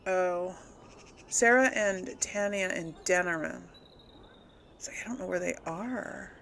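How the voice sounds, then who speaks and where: A woman's voice: lowish voice, unhurried, a bit bright, a little hiss or hum. A woman giving casual, unscripted game commentary to viewers, rambling a little as she thinks aloud. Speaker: let's play host.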